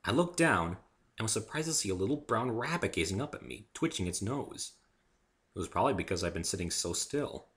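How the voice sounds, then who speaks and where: A man narrates a first-person story in a dramatic reading. His voice sits fairly low, with a bright, forceful tone and very animated intonation. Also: fairly quick.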